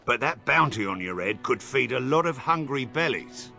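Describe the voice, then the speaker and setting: An actor playing a greedy, rough bandit leader with coarse rustic speech in a video game. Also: male voice, medium pitch, lively intonation, normal speed, pushed and bright, faint music behind. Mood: menacing.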